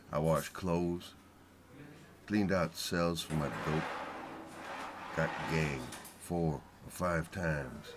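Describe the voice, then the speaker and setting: A man giving personal testimony in short, halting, plain-spoken vernacular phrases. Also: deep voice. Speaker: former prisoner giving testimony.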